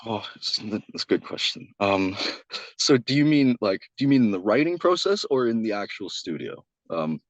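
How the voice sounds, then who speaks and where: A young man, a singer-songwriter guest, talking loosely in a casual interview, with a loud voice and lots of restarts and "like.". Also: lowish voice, sing-song, normal speed, dry recording, lo-fi sound. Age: young adult. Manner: rambling, many restarts and "like".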